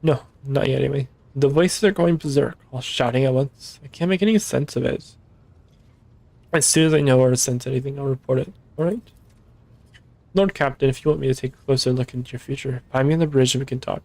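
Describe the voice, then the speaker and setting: A man with a tired, hoarse voice voicing a troubled young woman psychic, reading her lines in an anxious, rambling way. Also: mid-range voice, fairly quick, a little mellow, dry recording, natural voice.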